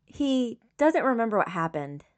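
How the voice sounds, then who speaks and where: A woman's voice: fairly high pitch, big pitch swings, normal speed, a little mellow, pitched above the performer's own voice. A woman reading the part of a composed, clinical young female medic in a story, direct and matter-of-fact. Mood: clinical, concerned. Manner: matter-of-fact, clipped questioning.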